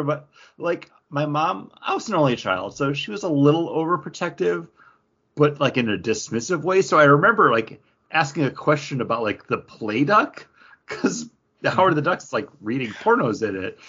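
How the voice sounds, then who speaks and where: A man's voice: a little high, fairly bright tone, breathy edge. A man in his forties co-hosting a podcast, chatting casually with frequent laughs and lots of filler words. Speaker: podcast co-host. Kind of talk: casual chat. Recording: slightly dull lo-fi audio.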